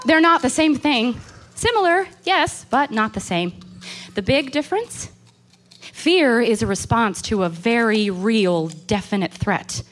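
Horror radio drama: anxious, haunted woman, fairly high voice, intense and confessional delivery. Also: animated, unhurried, a little hiss or hum. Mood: uneasy, brooding. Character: anxious, haunted woman recounting an insect terror. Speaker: actor. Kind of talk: horror radio play monologue and dialogue.

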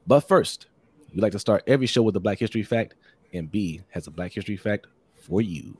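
A hoarse man hosting a casual live-streamed sports podcast, upbeat and repetitive, reading out viewer comments and giving shout-outs. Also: fairly low pitch, lively intonation, brisk, no echo. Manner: upbeat, repeats phrases, many shout-outs. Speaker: podcast host.

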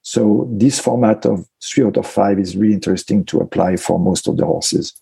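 Guy talking horses in english, low gravelly voice, lots of uh and um. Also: normal speed, mellow, no room sound. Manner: thoughtful, non-native phrasing with frequent fillers. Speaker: equine veterinarian guest. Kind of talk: conversational interview answers.

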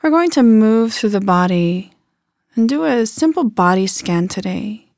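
Woman, mid-range pitch, guided meditation, gentle unhurried delivery, soft phrase endings, dry recording. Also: expressive, no echo. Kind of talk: slow guided body scan meditation.

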